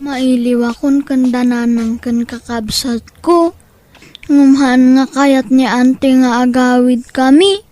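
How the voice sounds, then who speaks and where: A woman's voice: high voice, little pitch movement, takes it slowly, warm tone. A woman with a Filipino accent voicing a weary, homesick young schoolboy in an audio drama, in a plaintive manner. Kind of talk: Ilocano audio drama acting.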